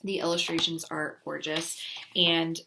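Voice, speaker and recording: A woman's voice: low voice, little pitch movement, unhurried. A woman casually presenting book recommendations in an enthusiastic, gushing way. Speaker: children's book reviewer. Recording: clearly noisy recording with clicks.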